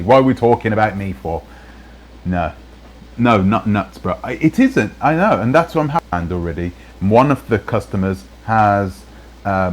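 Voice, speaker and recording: Low-voiced man rambling on a stream, stop-start delivery, faint hiss behind. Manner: rambling, many restarts. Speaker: channel host in played clips. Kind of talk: casual live-stream talk.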